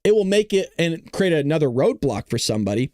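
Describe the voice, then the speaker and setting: Blunt, higher-voiced man doing political commentary. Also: big pitch swings, fairly quick, a little mellow, no room sound, studio quality. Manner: blunt, indignant, swearing, emphatic. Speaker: political commentary show host. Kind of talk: opinionated political commentary to viewers.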